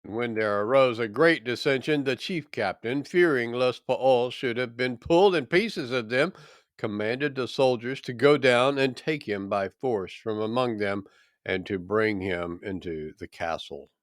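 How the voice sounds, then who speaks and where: A man reading scripture aloud in a solemn, measured and declamatory way. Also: mid-range voice, no echo, clean studio sound. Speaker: scripture reader.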